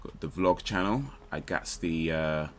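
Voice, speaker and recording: A man's voice: a bass voice, a little slow, a bit bright, slight background noise. A man in his thirties from London with a London accent, chatting casually with his viewers as he streams a game. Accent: London English. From London, England. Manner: laid-back and chatty. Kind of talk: casual live-stream chat.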